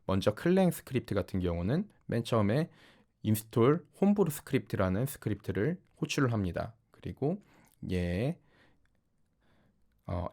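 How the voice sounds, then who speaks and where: Low-voiced man explaining step by step. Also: creaky, darkish tone. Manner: explanatory, step-by-step, polite formal speech. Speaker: programming instructor. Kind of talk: tutorial narration over screen demonstration.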